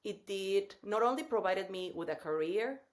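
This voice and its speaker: A woman in her forties from Mexico with a Mexican Spanish accent, a guest telling her story in a lively way on a podcast. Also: medium pitch, moderate pace. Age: 40s. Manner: lively storytelling with frequent laughs. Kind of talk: podcast interview.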